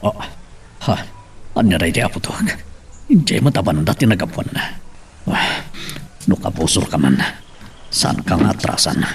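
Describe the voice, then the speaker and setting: A man's voice: sits low, very animated, normal speed, long pauses, a little hiss or hum. A man acting a troubled older father in an Ilocano audio drama. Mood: startled, wary. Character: troubled older father who visits the cemetery.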